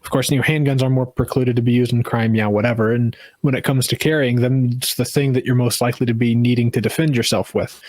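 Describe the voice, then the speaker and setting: A man's voice: mid-range voice, steady tone, fast, hardly any pauses, darkish tone, dry recording. A man co-hosting a casual podcast about gun laws, rambling with many restarts and fillers. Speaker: podcast co-host. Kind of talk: casual political chat.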